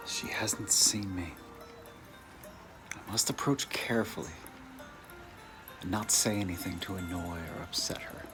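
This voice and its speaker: A man's voice: low-pitched, fairly even intonation, measured pace, long pauses, music in the background. A man playing a reserved, wistful, bookish bachelor in a dramatized novel reading, with a formal, musing and slightly hesitant delivery. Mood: hushed, cautious.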